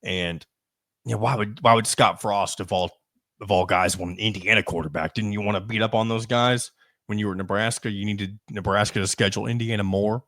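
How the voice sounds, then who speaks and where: A man's voice: medium pitch, fairly bright tone, no room sound, studio quality. A man hosting a sports podcast, talking casually with frequent ums and restarts.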